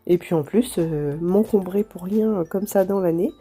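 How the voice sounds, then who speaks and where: A woman with a stuffy nose from a cold, chatting gently to viewers as she tidies her home, with soft music behind. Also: fairly low pitch, moderate pace, husky. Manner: gentle, chatty, apologetic asides with light laughs. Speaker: home vlogger. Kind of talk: casual vlog narration.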